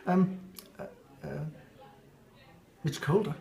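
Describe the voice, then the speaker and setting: Older English man, higher-pitched, reflective interviewee who rambles and restarts. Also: slow pace, gravelly, mellow. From England. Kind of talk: casual interview answers.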